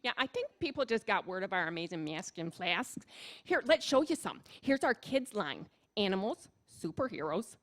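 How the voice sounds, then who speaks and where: A chatty small-town Minnesota shopkeeper, cheerfully oblivious. She speaks in an agreeable, folksy way at a middling pitch, with a Minnesotan accent made for radio comedy. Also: sing-song, normal speed, fairly bright tone. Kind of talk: live radio comedy sketch.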